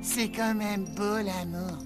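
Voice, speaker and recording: A woman's voice: mid-range voice, steady tone, slow, over music, close to an everyday voice. A woman voicing a small, playful, dreamy sidekick creature in a cartoon, with a laid-back manner. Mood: easygoing, playful. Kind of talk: cartoon voice acting.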